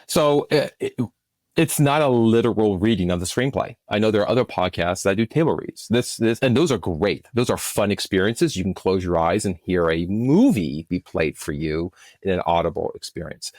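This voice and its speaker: A man's voice: low voice, expressive, moderate pace, a little mellow, no room sound, clean studio sound. A man speaking as a producer and guest in an interview, explaining conversationally and often restarting his phrases.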